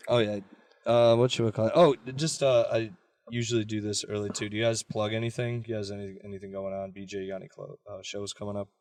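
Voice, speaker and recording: A fairly low-voiced man hosts a loose, joking podcast from the seat of a parked car. He swears freely and cuts in over his guests. The sound is dry and close with no room around it. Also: steady tone, fast, a little mellow, no echo. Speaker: podcast host. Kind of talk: casual chat.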